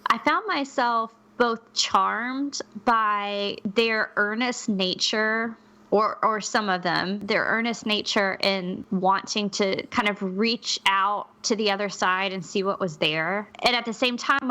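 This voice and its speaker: Bright-voiced woman podcast co-host. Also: mid-range voice. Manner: earnest, reflective, laughs lightly. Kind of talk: casual podcast discussion.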